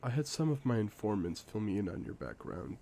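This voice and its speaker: A man playing a suave, confident secret agent in a spy audio drama, speaking in a playful, theatrical, deliberate way. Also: fairly low pitch, very animated, dark tone, a little hiss or hum. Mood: confident, admiring. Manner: smooth-talking, playful, theatrical, deliberate phrasing. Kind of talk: scripted one-sided audio roleplay, spy drama.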